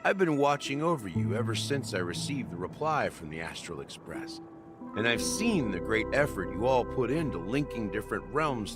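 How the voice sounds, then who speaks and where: A worldly, wry game veteran, with a low voice. He tells things unhurriedly, with lively swings in pitch and music clearly behind him. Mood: knowing. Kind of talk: video game voice acting.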